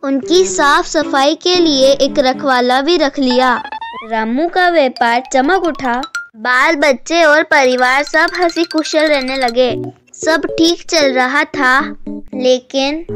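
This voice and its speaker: Slow, high-voiced woman telling a moral tale. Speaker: storyteller. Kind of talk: scripted moral tale narration. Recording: music behind the voice.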